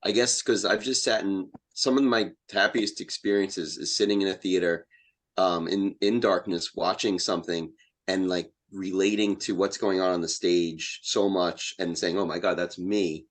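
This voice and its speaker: Low-voiced man, casual and rambling. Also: fairly even intonation, normal speed. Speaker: interview guest, playwright. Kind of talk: casual chat.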